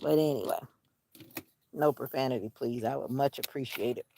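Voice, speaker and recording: A woman's voice: very deep voice, moderate pace, gravelly, mellow, slight background noise. A woman vlogging casually outdoors, musing in a rambling way to herself and her viewers. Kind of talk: casual outdoor vlog chat. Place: outdoors on a nature walk.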